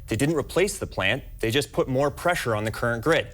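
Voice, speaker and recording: American man, medium pitch, news reporter, scripted explanatory delivery. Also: animated, fairly quick, a bit bright. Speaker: news fact-check reporter.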